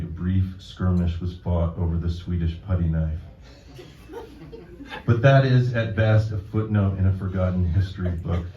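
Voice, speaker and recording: A man reading his own poetry at a live event, speaking in a deadpan, measured, formal manner. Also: low-pitched. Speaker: poet reading own work. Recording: dull, roomy, distant event recording.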